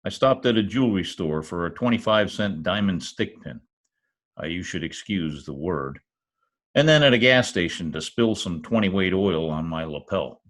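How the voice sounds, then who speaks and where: A man playing a wisecracking private detective in an old-time radio play, with dry, deadpan quips. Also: low voice, moderate pace. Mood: wry, narrating. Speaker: actor in an amateur radio-play reenactment. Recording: remote home recording over video call.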